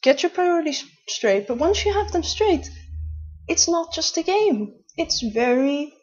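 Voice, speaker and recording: A woman's voice: high-pitched, sing-song, unhurried. A Dutch woman in her late twenties with a Dutch accent, talking to camera in a chatty, rambling way with many restarts. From Netherlands. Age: late 20s. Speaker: vlogger. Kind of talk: casual talk to camera. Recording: dull lo-fi recording.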